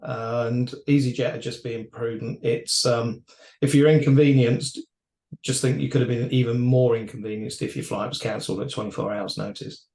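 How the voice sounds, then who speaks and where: A man with a mid-pitched voice speaks in a roomy, echoey space. He gives opinionated industry commentary in a conversational way, with a wry edge. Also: steady tone, moderate pace, a little mellow. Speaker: aviation expert commentator.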